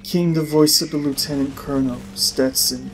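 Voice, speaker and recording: A man's voice: on the high side, steady tone, normal speed, slight background noise, rough recording. A man reading a story aloud as a scripted audiobook narration.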